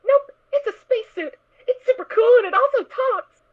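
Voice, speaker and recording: A woman's voice: very high voice, normal speed. A woman playing a sweet, naive little girl pony in a fan audio drama, with childlike, earnest, hesitant speech. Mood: innocent, chatty. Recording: tinny, narrow phone-like sound.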